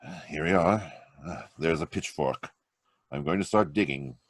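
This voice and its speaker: A man acting a calm, resolute amateur sleuth in an old-time radio mystery. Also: extremely low pitch, moderate pace, dry recording. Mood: tense, grim. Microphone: video-call microphone.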